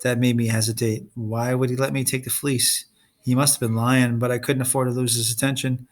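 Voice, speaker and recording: A man reading a story aloud as an expressive narrator. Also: mid-range voice, brisk. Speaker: storybook reader.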